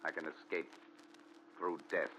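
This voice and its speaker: An actor playing a haunted, desperate man confessing his story, with intense, dramatic pauses in 1940s radio melodrama style. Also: extremely low pitch, flat delivery, pushed and bright, full character voice. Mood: grimly resolved. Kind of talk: 1940s radio thriller acting. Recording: dull noisy 1940s radio recording.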